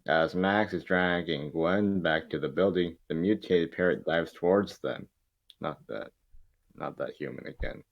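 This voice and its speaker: A man with a low voice reads out scripted stage directions. His reading is amateur and stumbles over the wording. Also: measured pace, gravelly, muffled recording. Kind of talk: scripted narration in an amateur table read.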